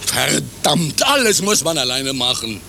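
An actor playing a self-important ancient commander in a satirical radio play, speaking in a dry, offhand, commanding way. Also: male voice, mid-range voice, very animated, measured pace, creaky, bright, forceful tone, slight background noise, full character voice. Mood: annoyed.

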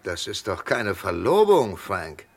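A stern, slow, low-voiced interrogator. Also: male voice, very animated, vocal fry, heavily acted. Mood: stern, probing. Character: stern, probing male interrogator questioning a murder suspect. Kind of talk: mid-century German radio crime drama acting.

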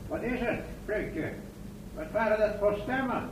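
A cowardly small-town mayor in a radio drama, blustering and ordering others about. The voice rings in a roomy space, with faint noise behind. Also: male voice, high voice, lively intonation, measured pace. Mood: nervous bluster. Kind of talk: Dutch radio play acting.

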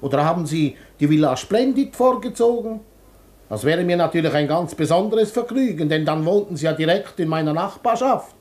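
An actor in a German radio play playing a chatty, flattering hairdresser from Lyon, effusive and obsequious. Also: male voice, a little high, a little fast, natural voice.

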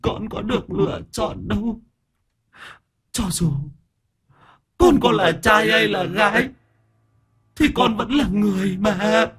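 A man voicing the weeping ghost of a young man, pleading through tears in a raised, breathy voice. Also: fairly high pitch, animated, takes it slowly, long pauses, voice pushed up, heavily acted. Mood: sobbing plea. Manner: sobbing, pleading.

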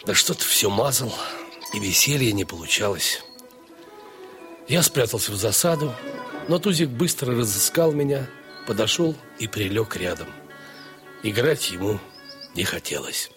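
An actor playing a playful, warm-hearted dog owner who tells his own story in a theatrical, expressive audio dramatization. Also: on the low side, normal speed, pauses often, soft background music. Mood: wistful. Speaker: narrator and dog's owner in a story. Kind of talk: dramatized first-person story narration.

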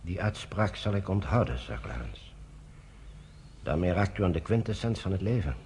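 An actor in a radio play, playing a troubled, conscientious British general, grave and measured, with a husky voice. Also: male voice, sits low, lively intonation, darkish tone, slight background noise. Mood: bitter, principled. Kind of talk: Dutch radio play acting.

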